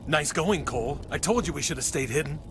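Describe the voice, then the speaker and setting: A man's voice: fairly high pitch, expressive, fairly quick, background noise. An actor playing a blunt, pessimistic male colonist farmer in a video game. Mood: reproachful. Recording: game dialogue over loud outdoor ambience.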